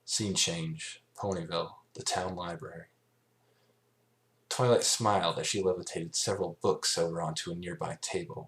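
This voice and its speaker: Amateur male story reader, low-pitched, halting delivery with the odd stumble. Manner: halting reading with stumbles over words. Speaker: amateur fan fiction reader. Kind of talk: scripted story narration, read aloud.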